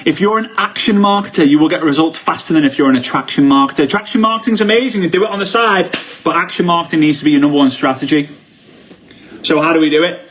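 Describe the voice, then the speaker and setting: Excitable Liverpool man, higher-pitched voice. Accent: Liverpool English. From Liverpool, United Kingdom. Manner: excitable, emphatic, repeats phrases for effect. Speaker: network marketing coach. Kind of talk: motivational live online training talk.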